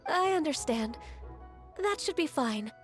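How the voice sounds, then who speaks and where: A woman playing a polite, dutiful young female disciple in a video game, speaking courteously and gently. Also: sits high, sing-song, measured pace, faint music behind. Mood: gentle, accepting. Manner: courteous, soft-spoken, formal.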